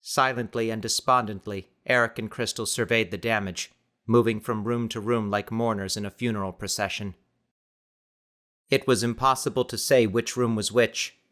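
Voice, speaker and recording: A man reading dramatic scripted audiobook narration in a measured, suspenseful manner. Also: on the low side, fairly even intonation, fairly bright tone.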